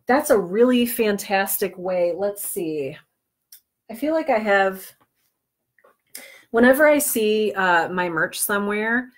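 Chatty woman teaching on a livestream. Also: mid-range voice. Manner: chatty, self-deprecating asides. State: under the weather with cold or flu, on medication. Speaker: livestream host teaching podcast business planning. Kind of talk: informal livestream tutorial, chatting with viewers.

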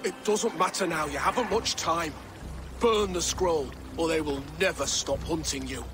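An actor playing a defeated but honorable noble warrior in a video game, speaking gravely and earnestly. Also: male voice, on the high side, moderate pace, lightly acted. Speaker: game character. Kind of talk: game dialogue acting. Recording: game audio with music.

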